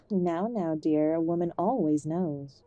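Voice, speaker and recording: Give the part to an affectionate, ailing older mother played by a woman in an audio drama. Her voice is soft-edged and mellow, recorded close and dry. She fusses gently, old-fashioned and stubbornly hopeful. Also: sits low, slow pace, no room sound, highs cut off, soft background music. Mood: gracious, coy. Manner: gentle, fussing, uses endearments.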